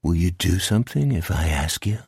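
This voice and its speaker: A man voicing a cold, taunting shadowy monster in a horror audiobook, speaking slowly and deliberately with menace. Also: deep voice, expressive, vocal fry, a little mellow, husky, no room sound, clean studio sound, voice pushed down, exaggerated voice. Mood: coaxing menace. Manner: slow, deliberate, coldly commanding.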